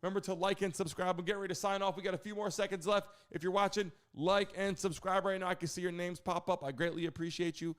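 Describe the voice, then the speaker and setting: Male livestream host, casual and promotional, high clear voice, talks straight to the audience. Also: fairly even intonation, quick pace, hardly any pauses. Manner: direct, promotional address to viewers.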